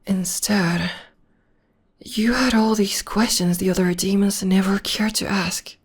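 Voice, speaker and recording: A woman playing a gentle, loving witch girlfriend in an intimate ASMR roleplay, speaking softly and tenderly in a breathy half-whisper. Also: mid-range voice, fairly even intonation. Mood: fond, reminiscing. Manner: tender, slow, soothing. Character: gentle, loving witch girlfriend comforting her demon partner.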